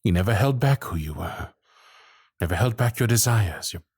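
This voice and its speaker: A man playing an earnest, lovestruck young gentleman with a tender, halting, pleading delivery in a romantic audio roleplay. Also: fairly low pitch, lively intonation, breathy edge, no room sound, studio quality. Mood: pleading, confessional. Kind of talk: romantic ASMR roleplay acting.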